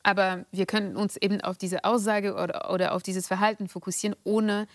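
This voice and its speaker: A woman's voice: mid-range voice, flat delivery, no room sound. French-accented woman, dry close sound. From France. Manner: measured and deliberate, with frequent hesitations. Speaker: guest. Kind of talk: thoughtful interview answers.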